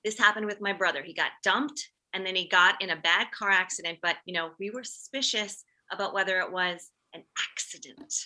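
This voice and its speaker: A woman's voice: mid-range voice, fairly quick, few pauses, pushed and bright. A woman playing a fretful, overprotective suburban mother who blurts out her worries in a comedy table read. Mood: worried. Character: anxious, overprotective suburban mother of a teenage boy.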